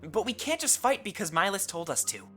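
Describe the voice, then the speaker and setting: Earnest young-hearted adventurer, lively-pitched, loyal. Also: female voice, a little fast, faint music behind. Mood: serious, searching. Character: earnest, protective fantasy adventure companion, level-headed and loyal. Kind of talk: visual novel voice acting.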